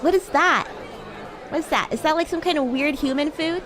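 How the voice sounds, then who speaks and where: A woman's voice: high-pitched, moderate pace, a crowd in the background. A woman streamer improvising a roleplay as a bratty demon schoolgirl classmate, with a playful, teasing, giggly manner. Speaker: VTuber streamer. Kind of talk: improvised roleplay livestream chat.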